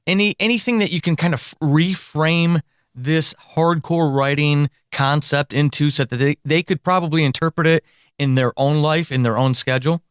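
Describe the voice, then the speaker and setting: Clear-voiced man hosting a podcast interview. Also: fairly high pitch, moderate pace, no echo, studio quality. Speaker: podcast host. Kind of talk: conversational interview.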